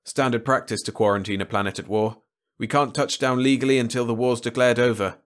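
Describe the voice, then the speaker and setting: A man's voice: medium pitch, fairly even intonation, brisk, natural voice. A man voicing a gloomy Slavic spaceship crewman with a dry, sardonic manner in an audiobook story.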